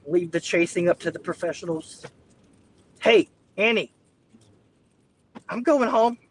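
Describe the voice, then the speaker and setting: A man with a raspy voice, easygoing and chatty, giving live storm commentary from his car while getting over the flu. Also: high voice, unhurried, a little hiss or hum. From Southeast Georgia. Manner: easygoing, addresses viewers as y'all. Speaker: weather livestreamer. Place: inside a car, driving on icy roads.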